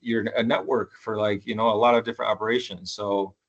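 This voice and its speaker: A man's voice: lowish voice, flat delivery, fast, lo-fi sound. Laid-back slangy man in his thirties. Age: 30s. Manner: laid-back, slangy, calls guest bro and dude. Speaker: podcast host. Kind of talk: casual podcast interview.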